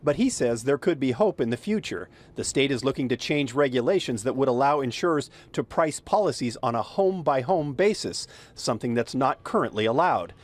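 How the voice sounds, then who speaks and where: An older man reporting a local news story in a measured narration. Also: medium pitch. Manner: measured, lively reporting delivery. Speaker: field reporter.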